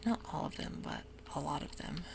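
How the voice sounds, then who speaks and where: A woman giving soft-spoken, whispery commentary while she plays a computer game, with frequent ums. Keyboard typing can be heard close to the mic. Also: deep voice, big pitch swings, fairly quick, vocal fry. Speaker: ASMR gaming creator. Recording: noisy home recording.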